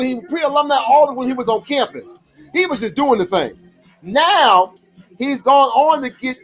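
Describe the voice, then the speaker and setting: A man with a strikingly high voice hosts an online alumni show. He chats casually between guests and shouts with excitement when he brings someone on. He speaks over a video call. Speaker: show host. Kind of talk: hype introduction and casual interview chat.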